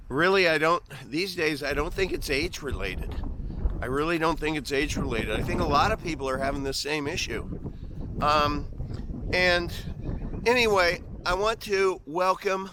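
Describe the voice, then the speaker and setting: Midwestern man in his fifties, bright voice. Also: a little high, slight background noise. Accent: Midwestern American. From American Midwest. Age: 50s. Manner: joking, mock-earnest, often trails off and restarts. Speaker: vlogger. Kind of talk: rambling comedic vlog monologue. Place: outdoors on a walk.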